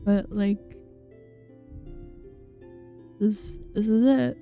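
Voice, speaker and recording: A woman's voice: medium pitch, fairly even intonation, very slow, vocal fry. A woman giving casual playthrough commentary as a game streamer, with muttered exasperated asides. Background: soft game music.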